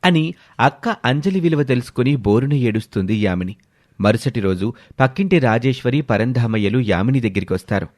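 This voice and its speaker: A man's voice: mid-range voice, animated, fairly quick, a little mellow. A man narrating a scripted story as a storyteller. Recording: music behind the voice. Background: background music.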